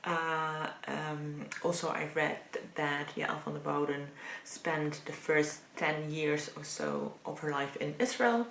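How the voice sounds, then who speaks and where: A woman speaking English, casually reviewing books in a thoughtful, hesitant way with frequent pauses. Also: low voice, a bit bright. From Netherlands. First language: Dutch. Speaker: book reviewer. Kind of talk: casual book review vlog. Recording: roomy echo, faint background noise.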